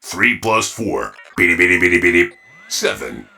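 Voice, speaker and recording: A man's voice: deep voice, very animated, slow pace, vocal fry, sharp tone, full character voice. A man playing a literal-minded household robot in a comedy sketch, speaking in stilted, mechanical phrases. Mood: stiff, obtuse.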